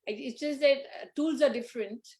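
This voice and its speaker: A woman's voice: high-pitched, brisk, a little mellow. A woman hosting an interview, speaking admiringly and hesitantly, with many fillers. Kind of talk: conversational interview.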